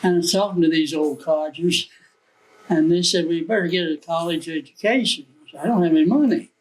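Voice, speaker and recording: A man's voice: a little high, a little fast, low-quality recording. A man in his nineties, a veteran guest, telling plain, joking stories of his youth. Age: 90s. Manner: joking, plain-spoken storyteller. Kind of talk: casual recollection.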